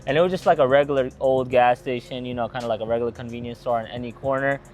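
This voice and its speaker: A man's voice: mid-range voice, fairly even intonation, racing, a little mellow, soft background music. A young man from Atlanta who owns a gas station restaurant, telling its story in a casual interview with eager, rambling answers and many fillers. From Atlanta, Georgia.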